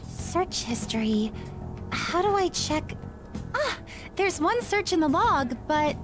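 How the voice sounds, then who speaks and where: A woman's voice: extremely high pitch, big pitch swings, slow pace, music in the background, heavily acted. A woman voicing an earnest, polite teenage schoolgirl in a video game, a little hesitant. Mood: hesitant, determined.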